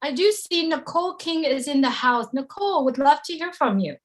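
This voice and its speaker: A woman hosting a live online event, giving quick upbeat handoffs between speakers. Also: high-pitched, expressive. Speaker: event host.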